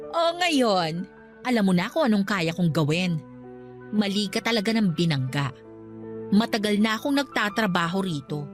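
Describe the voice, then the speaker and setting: Haughty Filipina boss, lively swooping pitch. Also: female voice, measured pace, long pauses, soft background music. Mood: haughty, threatening. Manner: dismissive, condescending. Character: haughty, threatening female office superior. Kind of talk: Filipino radio drama acting.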